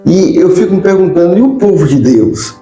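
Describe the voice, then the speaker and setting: Echoey room, Brazilian man, mellow tone, agreeable interview host, faint music behind. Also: high-pitched, sing-song, fairly quick. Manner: agreeable, often seeks agreement. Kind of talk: conversational religious interview.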